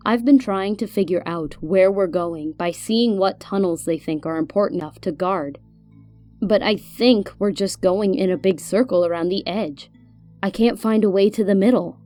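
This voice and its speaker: Actress playing a calm older lady guide, mellow voice, matter of fact, audio drama with soft music behind. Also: mid-range voice, moderate pace, natural voice. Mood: calm, reasoning. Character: composed, reasoning older woman guide.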